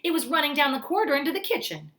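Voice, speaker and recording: A woman's voice: high voice, very animated, rapid-fire, fairly bright tone, roomy sound, pitched above the performer's own voice. A woman voicing a stubborn, indignant old grandmother in a storybook, with a blunt, emphatic, scolding delivery. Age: older.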